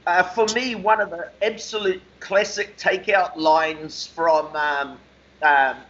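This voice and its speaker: A man co-hosting a conversational radio discussion, talking discursively with measured emphasis. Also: high-pitched, fairly even intonation, a bit bright. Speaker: radio show co-host, interviewer. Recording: slightly dull lo-fi call audio.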